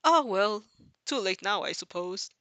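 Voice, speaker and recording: A woman's voice: mid-range voice, lively intonation, unhurried, bright, forceful tone, dry recording. A woman voicing an amused, menacing male slime villain in a fan fiction reading, mocking and condescending. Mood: musing.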